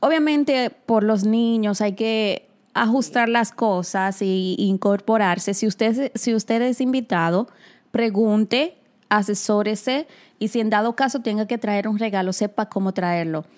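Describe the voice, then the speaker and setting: A woman's voice: mid-range voice, a little slow, a little mellow. A woman from the Republic, co-hosting a casual chat and giving listeners practical advice. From Dominican Republic. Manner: advises listeners directly, addressing them as "señores". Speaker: co-host.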